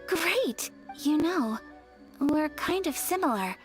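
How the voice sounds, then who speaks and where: An actress playing a gentle, refined young noblewoman in an anime video game, speaking softly, politely and earnestly. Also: sits high, animated, slow, faint music behind. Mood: tender, wistful.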